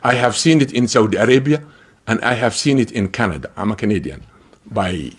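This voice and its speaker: A man's voice: lowish voice, animated, brisk, breathy edge. A man from Africa giving a public talk as a lecturer, emphatic and humorous, repeating phrases for effect. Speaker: university lecturer.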